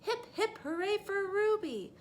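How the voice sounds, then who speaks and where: A woman voicing a crowd of cheerful, grateful townspeople in a picture-book read-aloud, with bright, emphatic cheering. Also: extremely high pitch, animated, unhurried, slight background noise, pitched above the performer's own voice.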